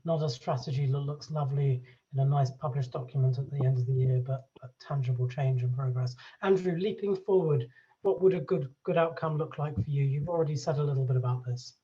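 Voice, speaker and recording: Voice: medium pitch, fairly quick, warm tone, highs cut off. A panel moderator speaking off the cuff while running an event.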